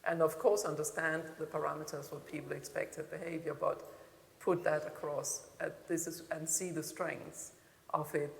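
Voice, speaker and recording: A woman's voice: low voice, creaky. A middle-aged woman from Denmark with a Danish accent, speaking candidly and reflectively as a panel speaker, with dry humour. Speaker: keynote speaker. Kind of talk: answering audience questions at a panel. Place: large hall. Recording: echoey hall with faint ambience.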